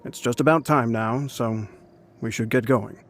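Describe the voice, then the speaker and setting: A man's voice: mid-range voice, normal speed, a little hiss or hum, lightly acted. An actor playing a formal, dutiful male attendant in a video game. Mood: polite urging. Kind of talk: English video game voice acting.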